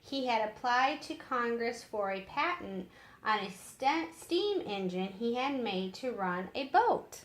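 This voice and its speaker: A woman reading a story aloud haltingly, with casual asides. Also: a little high, animated. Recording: echoey room.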